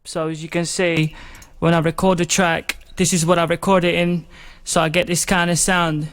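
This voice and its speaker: Chatty male beat-maker, high voice, dry sound. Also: level tone, normal speed, fairly bright tone, dry recording. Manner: rambling, loose, full of "you know" and "like". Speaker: music production tutorial host. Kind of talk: casual step-by-step software tutorial. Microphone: studio microphone into a recording channel.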